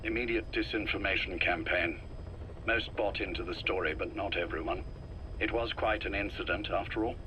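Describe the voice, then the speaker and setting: An actor playing a grave, shrewd intelligence operative in a video game, in a covert briefing. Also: male voice, deep voice, moderate pace, background noise, natural voice. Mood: guarded briefing.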